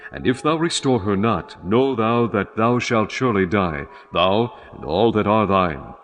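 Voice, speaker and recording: A man's voice: lowish voice, animated, unhurried, long reverb tail. A man voicing a stern, commanding God who warns with solemn authority in a dramatized scripture audiobook. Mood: stern, warning.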